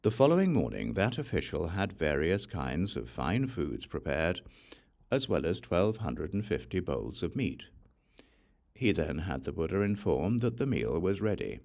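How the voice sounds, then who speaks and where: Very deep, gravelly male audiobook narrator. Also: very animated, unhurried, a little mellow. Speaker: audiobook reader. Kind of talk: scripted narration of Buddhist scripture.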